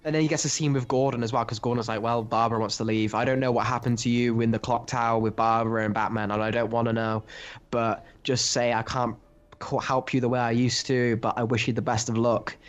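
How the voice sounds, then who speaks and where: Man, podcast co-host, casual discussion, rambling and halting delivery, mid-range voice. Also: medium pitch, flat delivery, highs cut off. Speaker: podcast co-host reviewing comics. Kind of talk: casual chat, comic discussion.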